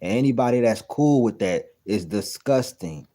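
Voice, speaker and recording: Blunt profane man in his thirties, low and mellow. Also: lively intonation, normal speed. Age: 30s. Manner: blunt, profane and incredulous, repeating points. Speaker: reaction video host. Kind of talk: casual commentary reacting to a clip.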